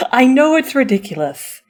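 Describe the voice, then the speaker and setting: A woman speaking as a supportive friend in a comforting roleplay, chatty and informal, with a theatrical, encouraging manner. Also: high voice. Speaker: audio roleplay performer playing a supportive friend. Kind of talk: comforting roleplay, chatty pep talk to the listener.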